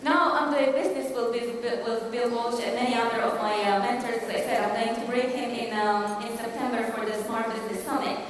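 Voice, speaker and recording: A woman's voice: fairly high pitch, steady tone, fairly quick, few pauses, slight background noise. A woman in her forties from Romania, with a Romanian accent, giving an emphatic motivational business talk and rallying the audience with questions. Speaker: business speaker. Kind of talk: motivational stage presentation. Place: large conference hall. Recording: echoey hall from far off.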